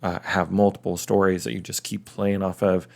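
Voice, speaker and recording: A man's voice: low voice, level tone, moderate pace, vocal fry. A middle-aged man hosting a casual, conversational demonstration in a musing way. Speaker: host.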